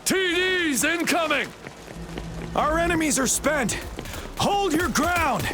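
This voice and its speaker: A man's voice: very high voice, expressive, slow pace, heavily acted. A man playing a determined battle defender in a video game, calling out terse, urgent, commanding lines. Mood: urgent, rallying. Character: forceful, determined battle defender rallying troops. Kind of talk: English video game voice acting.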